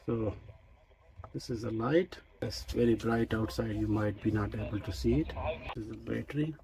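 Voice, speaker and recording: A man casually demonstrating a product unscripted, explaining technical details in a halting way. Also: on the low side, vocal fry, noisy background. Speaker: radio reviewer and demonstrator.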